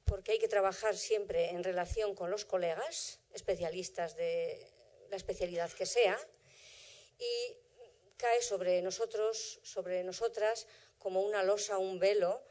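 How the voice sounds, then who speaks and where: A woman's voice: medium pitch, steady tone, unhurried, darkish tone. A middle-aged woman, a native Basque speaker from the Basque Country, giving a thoughtful and carefully worded conference lecture as a historian of medicine.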